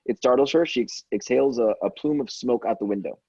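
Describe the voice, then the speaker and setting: A man's voice: mid-range voice, fast talker, darkish tone, breathy edge, dry recording, highs cut off. A man reading script stage directions aloud in a plain, matter-of-fact way, with occasional stumbles. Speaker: stage directions reader at a table read.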